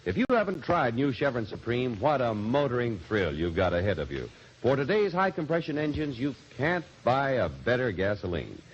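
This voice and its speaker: A man's voice: medium pitch, very animated, moderate pace, husky. A man announcing on a 1940s radio show, delivering scripted narration in a dramatic, polished salesman's manner on a muffled old recording.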